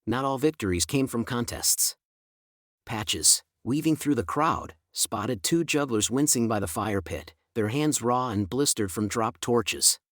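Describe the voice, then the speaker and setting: A man's voice: medium pitch, expressive, a little slow, a bit bright, no room sound, studio quality. A man narrating a scripted fantasy story in a dramatic, ornate storyteller manner. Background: music.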